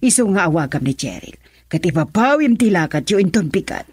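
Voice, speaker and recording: Bossy filipino mom in a radio drama, voice swings all over, close dry sound. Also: female voice, fairly low pitch, sing-song, fairly quick, husky, no echo. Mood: insistent. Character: stern, controlling mother of a grown son.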